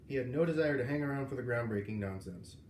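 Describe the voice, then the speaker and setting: Male narrator, medium pitch, storybook read-aloud, roomy echo, faint background noise. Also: expressive, quick pace. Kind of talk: reading a children's novel aloud. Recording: roomy, echoing, noisy home recording.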